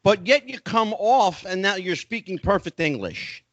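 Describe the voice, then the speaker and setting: Excitable guy hosting a podcast, a bit high-voiced, dry and dull-sounding. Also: moderate pace, no room sound. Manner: excitable, keeps interjecting. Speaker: podcast host. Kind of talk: casual podcast panel chat.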